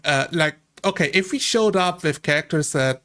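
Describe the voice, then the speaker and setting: A man with a slightly high-pitched voice talks casually among fellow tabletop players. He makes his points conversationally, tossing in short hypothetical quotes as he goes. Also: normal speed, bright, forceful tone. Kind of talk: casual animated group discussion.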